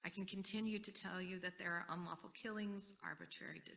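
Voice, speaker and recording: An American woman speaking as a panelist at a conference, earnest and deliberate, repeating key phrases for weight. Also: mid-range voice, fairly even intonation, creaky, a bit bright, recorded from a distance. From United States. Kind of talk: panel talk.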